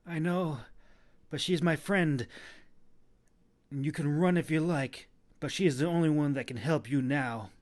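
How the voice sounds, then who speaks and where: A man's voice: a little high, a little slow, long pauses, pitched above the performer's own voice, lightly acted. Man voicing a bossy, scolding female shapeshifter. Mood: insistent. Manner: scolding, hissing, curt. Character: mouthy, bossy three-hundred-year-old female shapeshifter, scolding and impatient.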